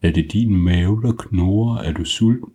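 A man voicing a brave teddy bear hero in a bedtime story, speaking firmly in his own low voice. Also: mellow, natural voice.

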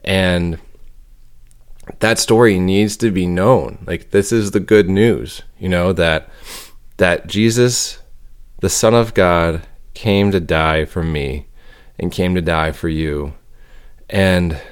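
A man's voice: low voice, fairly even intonation, slow pace, clean tone. A young man co-hosting a faith podcast, talking in an earnest, reflective way as he searches for his words. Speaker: podcast co-host and storyteller. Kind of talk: casual podcast chat.

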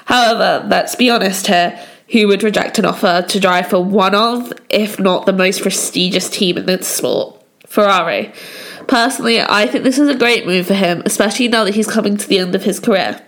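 A young woman from England hosting a solo podcast, her voice croaky from a cold, chatting along with lots of "kind of" and "um". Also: mid-range voice, normal speed, a bit bright. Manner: chatty, many fillers like "kind of". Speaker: podcast host.

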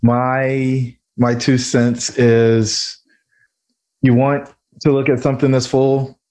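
A man's voice: medium pitch, flat delivery, slow pace, darkish tone. A man hosting a podcast, giving direct, coaching advice to a guest in casual chat. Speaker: podcast host.

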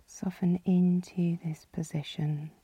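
A woman leading a guided yoga nidra relaxation as the guide, calm and soothing, with a soft, breathy, husky voice. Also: fairly low pitch, slow pace, no echo. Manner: calm, soothing, gently instructing.

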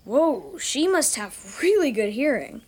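A woman's voice: high-pitched, sing-song, unhurried, slightly rough, heavily acted. A woman playing a cheeky, wisecracking young kid adventurer in a children's audio drama. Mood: impressed, flustered. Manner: casual, wisecracking.

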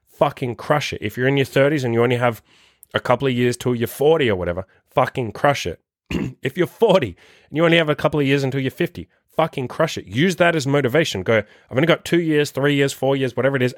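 A man's voice: mid-range voice, no echo, studio quality. A man in his thirties hosting a dating podcast, talking bluntly and emphatically with lots of swearing in a solo motivational monologue. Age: 30s. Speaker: dating coach podcast host.

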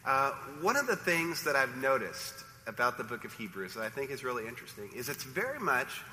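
A hoarse male pastor preaches a sermon in a church, his sore throat plain in every phrase. His tone stays bright and sharp despite it, and he talks in an informal, self-deprecating way. A long church reverb and faint room ambience surround him. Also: mid-range voice, slight background noise. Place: church sanctuary.

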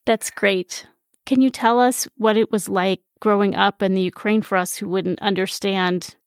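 A woman hosting a faith podcast, narrating an introduction and then asking friendly, measured interview questions. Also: medium pitch, steady tone, smooth, no echo, studio quality.

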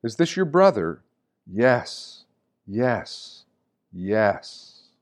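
A man reading a scripted devotional reflection in a measured, reflective manner, often with rhetorical weight. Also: lowish voice, very animated. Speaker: reader of Lenten meditations.

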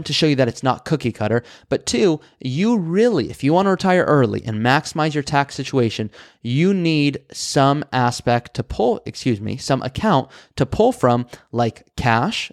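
Male financial planner, mid pitch, brisk conversational explainer, dry close-miked sound. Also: dry recording. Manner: brisk, conversational, voices imagined listener questions. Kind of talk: explaining retirement tax planning to camera.

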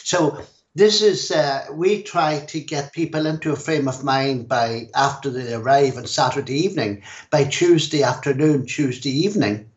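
A middle-aged man with an Irish accent, a language school director, explaining things in a measured way in a podcast interview. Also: mid-range voice, dull audio. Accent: Irish, Donegal. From Ireland.

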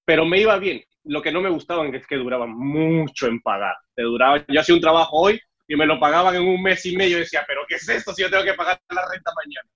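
Young man from Cuba, Mexican Spanish accent, lively storyteller, muffled dull audio. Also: fairly high pitch, fast talker, few pauses. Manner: storytelling, acts out dialogue with raised voice. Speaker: interview guest. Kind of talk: casual interview chat.

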